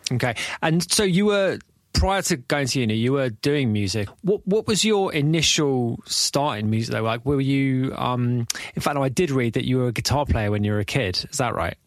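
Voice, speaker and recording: A man of middle pitch hosts a casual interview, winding into his questions with asides and loose, rambling phrasing. His voice sits close and dry on the mic with no room echo. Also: lively intonation, moderate pace. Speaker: podcast host.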